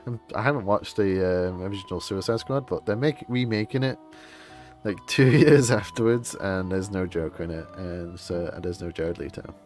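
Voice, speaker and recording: A man's voice: deep voice, normal speed, a little mellow. A man giving casual, rambling, laid-back live commentary as he streams a game. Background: faint game music.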